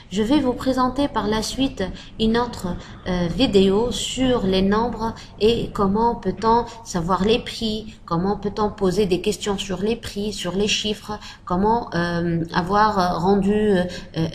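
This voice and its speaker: Woman, French teacher, very slow deliberate delivery, faint background hiss. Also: medium pitch. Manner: slow and deliberate, repeating model phrases. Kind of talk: slow spoken language lesson.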